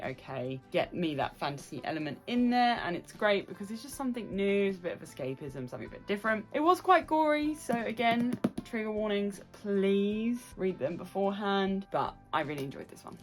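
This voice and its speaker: A woman giving a casual, chatty review to camera as a vlogger, gushing and emphatic, often repeating phrases for emphasis. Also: lowish voice.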